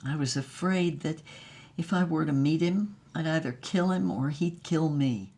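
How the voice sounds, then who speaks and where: An actress playing an older, passionate former movie star, reminiscing in a dramatic, savoring way in an audio-drama memoir. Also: female voice, deep voice, a little slow, mellow, husky. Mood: wary. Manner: dramatic, savoring, swooping emphasis.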